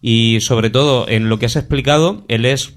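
A man co-hosts a talk show discussion. He talks in a hesitant way, with fillers and small restarts, at a medium pitch. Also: moderate pace.

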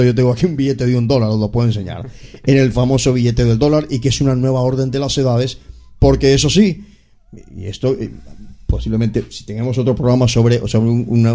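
A man with a Latin American Spanish accent lectures as a guest expert on a talk show. His voice is mellow and warm, with emphatic delivery and frequent restarts that repeat a word. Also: mid-range voice.

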